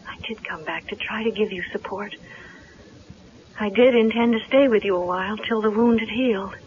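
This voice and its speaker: Casting: female actor as determined young daughter, mid-pitched, earnest and frank, dull vintage radio drama recording. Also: normal speed, a little hiss or hum, natural voice. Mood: firm, reproachful. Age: young adult. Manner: earnest, direct, firm. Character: frank, strong-willed grieving young daughter. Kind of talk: 1970s radio drama acting.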